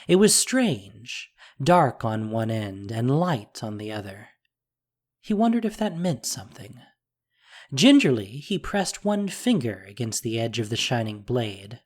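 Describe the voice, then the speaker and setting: Story narrator, unhurried, lively intonation, mid pitch, measured, dramatic, scripted fantasy.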